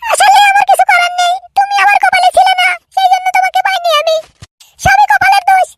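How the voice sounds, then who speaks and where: Man as a squeaky, extremely high cartoon girl. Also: level tone, brisk, fairly bright tone, no echo, studio quality. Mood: consoling. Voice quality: squeaky, artificially thin.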